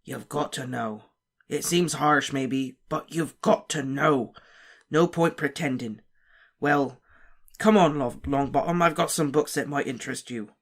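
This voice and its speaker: A man's voice: mid-range voice, a little slow, fairly bright tone. A man growling as a fierce old wizard teacher. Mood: gruff, gentler. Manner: growling, barking warnings. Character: gruff battle-scarred old male wizard teacher, fierce. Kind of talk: audiobook character voice.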